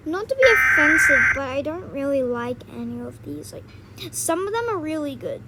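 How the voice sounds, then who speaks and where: High-voiced young woman, casual reactor. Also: animated, moderate pace, pushed and bright, low-quality recording. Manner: blunt, slangy. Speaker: reaction video host. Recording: faint background noise.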